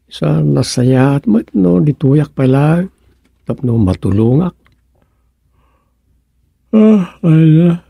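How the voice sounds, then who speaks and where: Husky, dark-toned Ilocano villager, hesitant, lovesick, slow, radio comedy-drama. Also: male voice, on the high side, expressive, dry recording, studio quality, heavily acted. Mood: wistful. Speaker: radio serial actor.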